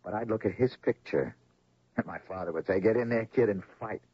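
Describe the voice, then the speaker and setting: Earnest, husky, low-voiced troubled doctor. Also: male voice, no room sound. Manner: insistent, halting, questioning. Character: earnest, troubled male doctor haunted by lost father. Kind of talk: radio drama acting. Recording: dull, lo-fi old radio recording.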